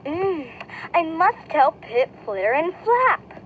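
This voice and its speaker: A woman voicing an innocent, eager young female fruit bat in a children's storybook, with a childlike, expressive delivery. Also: extremely high pitch, slow, slight background noise, pitched above the performer's own voice, exaggerated voice, rough recording. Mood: delighted.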